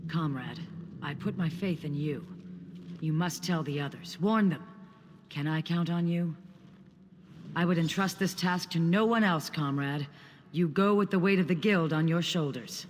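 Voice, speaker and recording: An actor voices a solemn male comrade in a fantasy game. His voice is fairly high, with formal, urgent phrasing. It rings with long reverb over faint noise. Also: measured pace, lightly acted. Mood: solemn, trusting. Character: earnest male guild comrade, grave and urgent lore-bearer. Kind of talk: fantasy video game dialogue.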